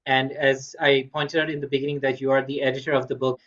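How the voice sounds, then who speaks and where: Polite podcast host, slightly dull sound. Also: male voice, mid-range voice, little pitch movement, fast talker, fairly bright tone. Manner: polite and formal, with frequent fillers. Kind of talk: podcast interview hosting.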